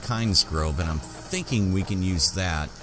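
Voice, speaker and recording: A man role-playing his character while playing a fantasy video game, musing and halting as he talks. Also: low voice, lively intonation. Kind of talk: slow in-character game roleplay commentary. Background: game music and ambience.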